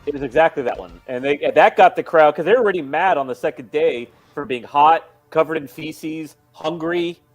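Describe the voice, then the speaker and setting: A man's voice: a little high, fairly quick, hardly any pauses, soft background music. A man co-hosting a live talk show, ranting in a heated, casual way.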